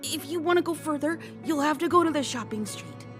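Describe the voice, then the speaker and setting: A jittery young boy thief who trips over his words, as a woman plays him. The voice is pitched very high and nervous. Faint music plays behind. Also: brisk, natural voice. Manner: stammering, hesitant. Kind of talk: video game dialogue.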